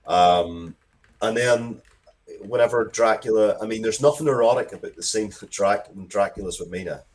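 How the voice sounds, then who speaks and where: A man's voice: on the low side, normal speed. A man speaking as an interview guest, telling stories in an emphatic, rambling way with frequent restarts. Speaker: guest, writer of Dracula media. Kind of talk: animated interview talk, storytelling.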